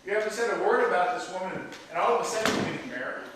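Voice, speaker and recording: Guy playing a flustered disbelieving son in a stage comedy, high voice, echoey and far from the mic. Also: animated, rapid-fire, noisy background. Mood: incredulous. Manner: hesitant, questioning, disbelieving. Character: exasperated, incredulous grown son of elderly father. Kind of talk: comic one-act stage play dialogue. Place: theatre stage, recorded from a distance.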